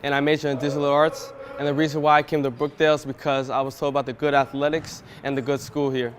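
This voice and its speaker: A man's voice: mid-range voice, level tone, fast talker. A young man from New Jersey, a college player, giving a short rehearsed self-introduction. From New Jersey, United States. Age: young adult. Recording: clear background noise.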